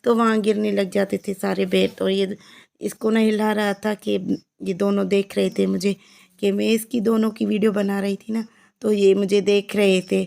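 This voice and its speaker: A woman with a Pakistani accent and a hoarse, heavy voice, narrating her village vlog in a chatty, repetitive way. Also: medium pitch, little pitch movement, moderate pace, a little mellow. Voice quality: heavy, croaky voice. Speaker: family vlogger. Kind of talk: casual vlog voice-over.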